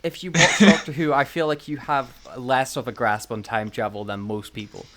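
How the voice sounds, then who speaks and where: A man co-hosting a podcast in casual fan chat, excitable and self-deprecating, with some swearing. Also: medium pitch, moderate pace. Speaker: podcast co-host.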